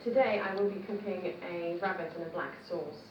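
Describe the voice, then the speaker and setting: A woman's voice: on the low side, normal speed, recorded from a distance. A woman from the Czech Republic with a Czech accent, presenting a dish as a home cook in a short film. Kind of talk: cooking presentation to camera. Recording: dull, roomy film playback, faint noise.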